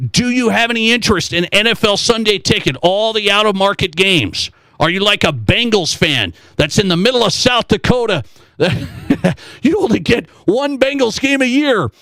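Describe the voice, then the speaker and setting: A man hosting a sports talk radio show in an animated, conversational way, throwing questions at listeners and repeating phrases for emphasis. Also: sits high, dry recording.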